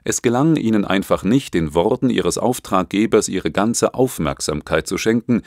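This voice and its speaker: Low-voiced guy reading an audiobook, scripted, really dry close sound. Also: lively intonation, moderate pace, darkish tone, no room sound. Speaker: audiobook narrator.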